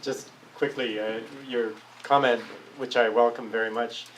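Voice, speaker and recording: A man's voice: medium pitch, steady tone, a little slow, slight background noise, poor audio. A man leading a public philosophy discussion, speaking thoughtfully and searchingly, often restarting his phrases. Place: public café discussion room.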